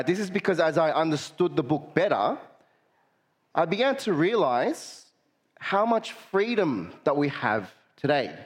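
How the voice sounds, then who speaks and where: Young man, guest preacher, slightly nasal with a cold, friendly, lots of uhs. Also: a little high. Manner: friendly, many filler uhs. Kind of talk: sermon.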